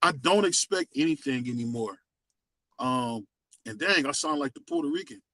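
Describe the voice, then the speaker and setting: A man's voice: mid-range voice, lively intonation, moderate pace, bright, forceful tone, dry recording. A man hosting a casual boxing talk show, emphatic and repeating himself. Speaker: host. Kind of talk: casual sports chat.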